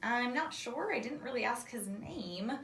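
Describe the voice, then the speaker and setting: A woman's voice: a little high, animated, a little slow, gravelly, fairly bright tone, full character voice. A woman voicing an earnest, matter-of-fact young girl explaining things in a children's story. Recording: echoey room, distant microphone.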